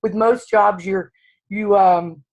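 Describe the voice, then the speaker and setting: Raspy-voiced woman, freshly woken, earnest caller with halting speech, mid-pitched. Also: fairly even intonation, a little mellow, poor audio. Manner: halting, earnest, many restarts. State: just woke up. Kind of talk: casual sharing on a call.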